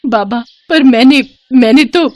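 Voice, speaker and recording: A woman's voice: medium pitch, lively intonation, moderate pace, close to an everyday voice. A woman voicing a grieving, vengeful female snake shapeshifter in a storybook tale, dramatic and emotional. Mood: pleading.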